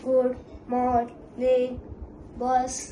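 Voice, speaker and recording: A young performer voicing an earnest, studious robot with halting word-by-word delivery in an amateur stage play. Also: high-pitched, monotone, mellow. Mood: stiff, friendly. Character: earnest robot friend, slow, studious, strict. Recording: echoey room with faint noise.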